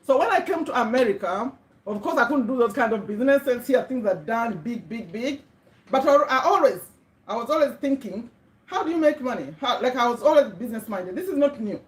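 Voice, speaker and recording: Chatty Ugandan woman, echoey room. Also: fairly high pitch. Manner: chatty, checks in with viewers, repeats words for emphasis. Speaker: livestream host. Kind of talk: casual motivational livestream.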